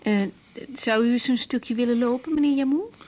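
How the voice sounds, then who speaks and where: A woman playing an earnest, caring young doctor in a radio drama, speaking in a hesitant, halting way. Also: fairly high pitch, a little mellow, lightly acted. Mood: caring, firm. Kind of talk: 1980s Dutch radio drama acting. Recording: noisy 1980s radio recording.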